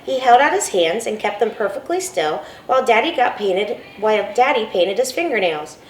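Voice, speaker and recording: A school principal reads a picture book aloud, her voice rising and falling with lively expression. She is recorded from a distance in an echoey room, with faint ambience behind. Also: medium pitch, moderate pace, a little hiss or hum. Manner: expressive storytelling.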